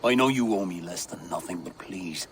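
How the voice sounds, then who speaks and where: An actor playing a solemn, tender old village elder in a dramatic, ceremonial game cutscene. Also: male voice, low-pitched, lively intonation, slight background noise. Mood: tender, resigned. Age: older. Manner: measured, ceremonial delivery.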